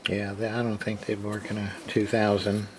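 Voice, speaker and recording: Male, fairly low-pitched, unhurried and halting, casual hobbyist show-and-tell, matter-of-fact, noisy homemade recording. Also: monotone. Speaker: hobbyist radio repairer, video host. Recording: clearly noisy amateur recording.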